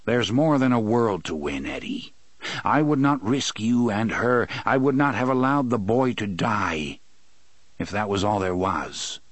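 A man voicing a weary, stern gunslinger who speaks calmly and steadily in a lowered voice. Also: no room sound. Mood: calm, solemn. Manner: calm, low, measured. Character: grim, weary older male gunslinger, calm and stern.

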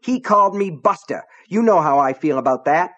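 A man's voice: mid-range voice, lively intonation, moderate pace, no echo, highs cut off, voice pushed up, lightly acted. An adult man voicing a burly, hot-tempered small-town official who talks in a blustering, sulky way. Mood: angry. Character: burly hot-tempered middle-aged male selectman.

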